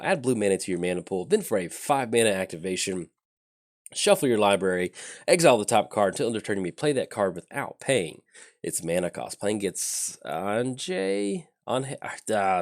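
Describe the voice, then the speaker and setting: A low-voiced man talks through his card game moves in a loose, thinking-aloud way, full of ums and uhs. His delivery swings up and down with lively intonation. He is getting over a chest cold, so his throat sounds a little scratchy. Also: fairly quick. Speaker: channel host. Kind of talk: casual card game commentary.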